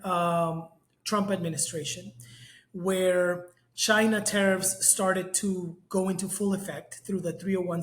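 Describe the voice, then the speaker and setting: A man, a guest expert on global trade, explaining in a measured way and often checking in with "right?". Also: high-pitched, reverberant. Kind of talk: explanatory interview talk.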